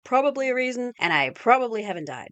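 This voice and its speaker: A young woman from New Zealand with a Kiwi accent, narrating a scripted video essay in a self-deprecating, deadpan way on a cheap home microphone. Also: a little high, quick pace, heavy background noise. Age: young adult. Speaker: YouTuber. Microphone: cheap USB microphone.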